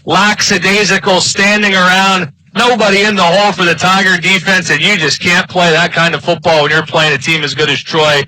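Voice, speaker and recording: Very sharp, bright-voiced male football color commentator. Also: high voice, flat delivery, moderate pace, hardly any pauses, no echo, low-quality recording. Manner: emphatic, opinionated. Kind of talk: live sports analysis.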